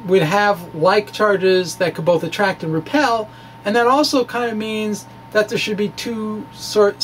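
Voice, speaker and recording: A man giving a dramatic explanatory reading as a presenter, halting and hesitant, with emphatic turns. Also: high voice, a little hiss or hum, rough recording. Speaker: amateur physicist presenting his blog. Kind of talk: dramatic reading of a physics blog, explanatory talk.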